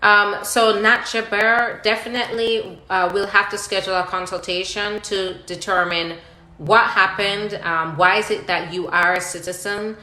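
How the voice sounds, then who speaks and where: A woman's voice: mid-range voice, fairly even intonation, normal speed, pushed and bright. A woman attorney hosting a live question-and-answer session, reading out viewer questions and explaining the answers step by step in a conversational way. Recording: roomy, echoing live-stream sound.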